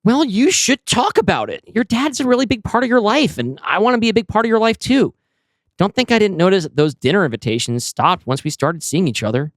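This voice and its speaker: A man's voice: high-pitched, sing-song, fairly quick, no echo, voice pushed up. Blunt, earnest young boyfriend, acted by a man. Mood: earnest, insistent. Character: frank, caring young boyfriend, bluntly pressing. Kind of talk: acted dialogue in an audio screenplay reading.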